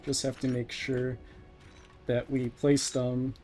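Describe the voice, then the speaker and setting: Casual male speedrun commentator, mid-pitched and unhurried, talking through each move over soft game music. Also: flat delivery. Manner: explains each step as they play. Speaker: speedrunner, live streamer. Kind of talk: live gameplay commentary, casual.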